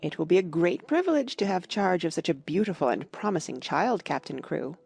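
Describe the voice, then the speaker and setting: Woman putting on a creaky, affected headmistress. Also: on the low side, expressive, a little fast, a little mellow, soft background music, exaggerated voice. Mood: gushing flattery. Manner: formal, gushing, affected. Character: cold, dignified, flattering headmistress.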